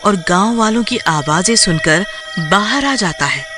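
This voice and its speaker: Male storyteller for a cartoon tale, high-pitched voice, very loud music underneath. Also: animated, measured pace. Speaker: narrator. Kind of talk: scripted cartoon story narration. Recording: very loud music, insect-like sounds behind.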